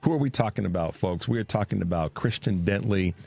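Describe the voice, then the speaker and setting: A man hosting a radio interview show in a studio, talking in an excited, casual way with lots of stammered restarts. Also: lowish voice, fast talker, creaky, husky. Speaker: radio host.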